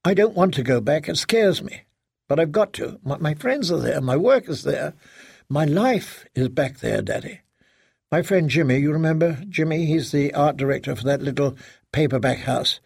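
A man voicing a frightened, fragile grown daughter in an audiobook, halting and stammering as she pleads like a child. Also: on the high side, lively intonation, creaky, darkish tone, breathy edge, no echo, clean studio sound, full character voice. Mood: scared, insistent. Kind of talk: dramatic audiobook character reading.

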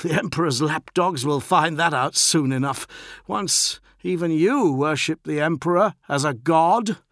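A man's voice: on the high side, a little slow, fairly bright tone, no room sound, clean studio sound, pitched above the performer's own voice. A man voicing an arrogant young warrior acolyte in a science fiction audiobook, insolent and taunting with fanatical belief. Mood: defiant.

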